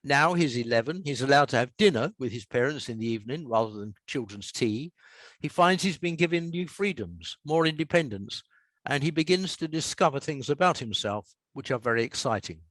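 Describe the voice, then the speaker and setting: An older man with an English accent, talking reflectively and reading aloud as an author in a measured way. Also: mid-range voice, animated, a bit bright, no room sound. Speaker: author and radio scriptwriter. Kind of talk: relaxed talk and reading aloud from own story.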